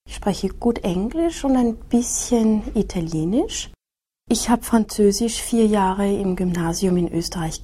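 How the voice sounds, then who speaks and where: Soft husky woman, slow. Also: medium pitch. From Austria. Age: 30s. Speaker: teacher. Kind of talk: scripted monologue for a listening exercise.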